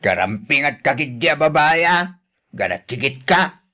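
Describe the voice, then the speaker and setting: A man's voice: fairly high pitch, sing-song, measured pace, sharp tone, exaggerated voice. A man playing a jealous, stubborn middle-aged husband in an Ilocano radio drama, complaining with an Ilocano accent. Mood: insistent, annoyed.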